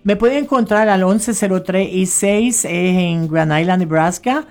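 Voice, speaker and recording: A woman's voice: medium pitch, a little slow. An older woman with a Puerto Rican Spanish accent talks as a radio guest. She tells her stories in a chatty way, often restarting her sentences. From Puerto Rico. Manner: chatty, warm storyteller with frequent restarts. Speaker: guest, notary public. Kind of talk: casual radio interview.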